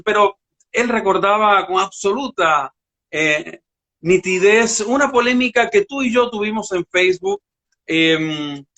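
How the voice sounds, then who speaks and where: High-pitched male radio host. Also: a bit bright. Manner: conversational, prefaces questions at length. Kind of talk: interview questions.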